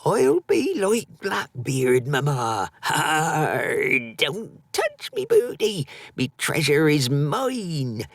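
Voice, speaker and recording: A male reader acts an eager young boy from a storybook, full of imagination. The voice is pitched high and moves up and down freely, with an earnest, folksy manner. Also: huge pitch swings, very slow, creaky, few pauses, no echo, full character voice. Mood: playful swagger. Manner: playful, earnest, folksy make-believe talk.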